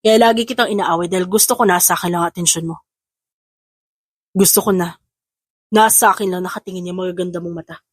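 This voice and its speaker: A woman playing an intense, possessive man in a Tagalog audio drama, with a husky voice. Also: on the low side, fairly even intonation, fast, long pauses, no echo. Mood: earnest, lovestruck. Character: intense, possessive young man, threatening and lovestruck.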